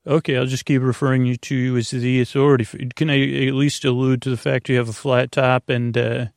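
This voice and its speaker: Creaky-voiced man rambling through a bedtime monologue. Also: medium pitch, little pitch movement, no room sound, clean studio sound. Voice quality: creaky, gravelly. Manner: meandering, halting, often trailing off and circling back. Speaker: podcast host. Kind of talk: rambling bedtime monologue to help listeners sleep.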